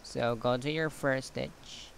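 A man giving a quiet step-by-step craft tutorial, counting stitches as he works off camera. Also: medium pitch, steady tone, vocal fry, slight background noise. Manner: slow, quiet counting while working with hands. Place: indoors at a table. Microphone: camera on hands, speaker off screen.

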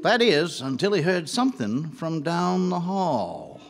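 An actor playing a wry, folksy storyteller narrating a scripted radio drama. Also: male voice, a little high, very animated, measured pace, vocal fry, faint music behind, full character voice. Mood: wry, suspenseful.

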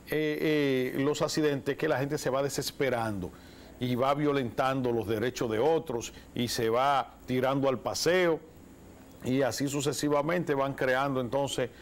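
Animated Dominican man, mid-pitched voice. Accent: Dominican Spanish. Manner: animated, gestures with both hands. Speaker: talk show co-host. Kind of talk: political commentary. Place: TV studio. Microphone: lapel mic.

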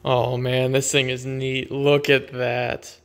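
A man with a bright, forward voice rambles casually through an off-the-cuff vlog commentary. He sounds laid-back and unscripted, at a middling pitch. The handheld phone recording in a garage carries clear background noise. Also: monotone, slow. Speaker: vlogger.

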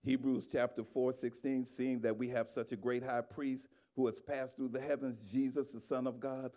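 A middle-aged man preaching a sermon in a church, on a handheld microphone, swinging from shouted exhortation to quiet asides to the congregation. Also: mid-range voice, muffled recording. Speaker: pastor. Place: church sanctuary.

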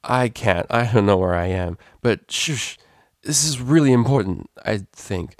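A man's voice: on the low side, a little slow, no room sound, studio quality, close to an everyday voice. A man voicing a drunk, affectionate female unicorn DJ pony in a storybook reading, rambling and hesitant in informal speech. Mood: earnest, gushing.

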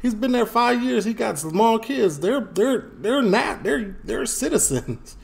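A man's voice: extremely high pitch, animated, a little slow, creaky. A man from Chicago hosting a reaction video, making blunt, slangy comments. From Chicago, USA. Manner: blunt, plainspoken remarks with slang. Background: show audio with music under it.

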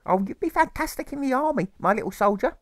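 Man putting on a high-pitched fretful old mother. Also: lively intonation, fast, no echo, studio quality. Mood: gushing, proud. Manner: theatrical, repeats phrases insistently. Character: fretful, doting, nagging working-class old English mother. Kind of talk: audiobook character voice.

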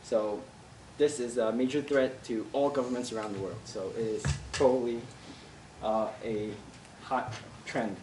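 A man's voice: mid-range voice, fairly even intonation, measured pace, background noise. Young man, meetup presenter, hesitant with fillers, roomy echo, heard from far off. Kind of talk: casual tech talk. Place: office meeting room with audience. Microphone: far camera.

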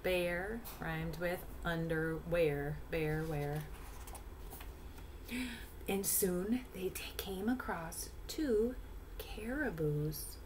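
Woman doing a kids storytime, slowish, kinda low voice, bit of background hiss. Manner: explains words and rhymes to listeners. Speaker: picture-book reader. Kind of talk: read-aloud storytelling for young children. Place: small indoor room at home.

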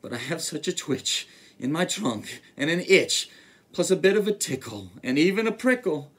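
A man's voice: on the high side, sing-song, moderate pace, a bit bright, husky, full character voice. A man voicing a big, timid, sorrowful male elephant in a rhyming storybook, with dramatic exclamatory delivery. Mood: sorrowful, apologetic. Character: huge timid sorrowful elephant, male, easily frightened and tickled.

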